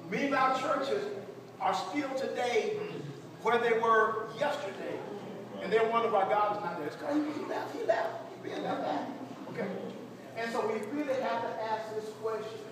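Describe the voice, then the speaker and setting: A high-voiced man teaches like a preacher, repeating phrases for emphasis and prompting his group to respond. He is picked up by a distant mic in a church meeting room, with strong echo and clear background noise. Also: moderate pace. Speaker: pastor leading a church workshop. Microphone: distant camera among the audience. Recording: echoey hall, distant mic, noisy room.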